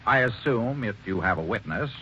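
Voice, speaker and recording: A haughty old millionaire. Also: male voice, lowish voice, animated, moderate pace, bright, forceful tone, slight background noise. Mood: cold. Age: older. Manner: curt and haughty, mocking. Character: stern wealthy older male employer, cold and dismissive. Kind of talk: 1940s radio acting. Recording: dull old 1940s radio broadcast.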